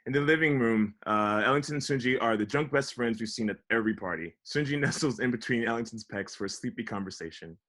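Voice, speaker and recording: A man's voice: medium pitch, quick pace, few pauses, fairly bright tone. A man giving scripted narration, reading out stage directions for a table read.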